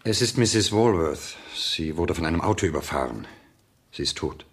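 A man's voice: deep voice, expressive, moderate pace. An actor playing a stern, insistent father and head of a household in a radio crime drama. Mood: sober.

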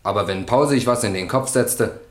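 Male actor playing an accused man, lowish voice, evasive, reluctant, short answers, roomy echo, radio play. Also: moderate pace, lightly acted. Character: evasive, sullen male defendant on trial. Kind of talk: German radio crime drama acting.

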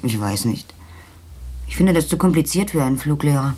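A woman's voice: low-pitched, animated, normal speed, dark tone, breathy edge. An actress playing a melancholy, wry woman who paints, in naturalistic radio play dialogue with a poetic, wistful way of talking. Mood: dry, wry. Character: melancholy, chilly woman who paints, drinking over checkers.

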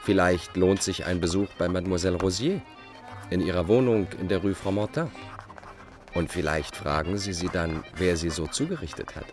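A man's voice: deep voice, moderate pace, soft background music, lightly acted. An actor playing a bitter, self-justifying Dutch fugitive in a radio drama, setting out his letters in a formal, pedantic way. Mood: coolly formal, mocking. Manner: formal, pedantic, enumerating point by point. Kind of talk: radio drama monologue, letters read aloud.

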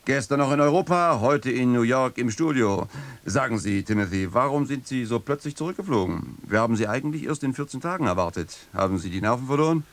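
An actor playing a jovial New York radio host with an announcer-like delivery in a radio play. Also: male voice, medium pitch, expressive, fairly quick, natural voice.

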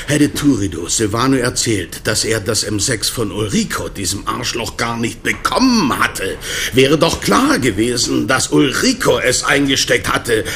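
An actor playing a defensive, streetwise drug-gang underling explaining himself in a radio crime drama. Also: male voice, mid-range voice, creaky, fairly bright tone, slight background noise.

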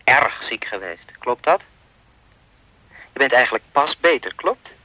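A creepy male caller in a radio play, with a bright, dry sound and lively, swinging intonation. He is insinuating and persistent, needling his listener and refusing to let go. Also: mid-range voice, a little slow, husky, no room sound, full character voice. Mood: taunting, smug. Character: creepy insistent male anonymous caller toying with woman.

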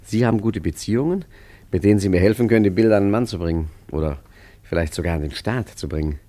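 An actor playing a wry, philosophical street painter and art forger in a German radio play, talking in a chatty, mock-grand, ironic way. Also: male voice, lowish voice, fast talker, warm tone, no echo, close to an everyday voice. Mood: sly, persuasive.

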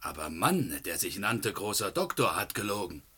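Male jungle guide, rather low, proud, solemn, broken grammar, radio play. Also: very animated, sharp tone, breathy edge, heavily acted. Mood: accusing. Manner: solemn, halting foreigner's grammar, third person. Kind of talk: German radio play acting.

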